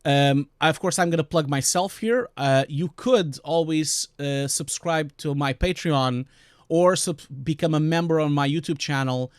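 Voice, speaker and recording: Portuguese-accented guy streaming, chatty, lots of ums, kinda high voice, dry close mic. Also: dry recording. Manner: chatty, rambling with many fillers and restarts. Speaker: streamer and compositing teacher. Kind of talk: casual live-stream chat.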